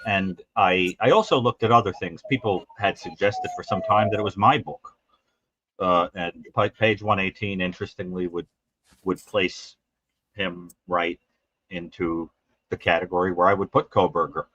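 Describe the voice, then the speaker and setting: A man talking as a guest on a live video call, rambling and halting with many restarts. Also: low voice, lively intonation, fairly bright tone, a little hiss or hum. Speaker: forensic psychologist guest. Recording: dry, muffled call audio.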